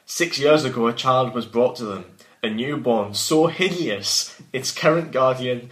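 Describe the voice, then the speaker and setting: A man's voice: mid-range voice, unhurried, a bit bright. Man reading his own story in a roomy echo. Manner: breaks off from reading to banter. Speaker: podcast host and author reading own work. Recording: roomy echoing sound.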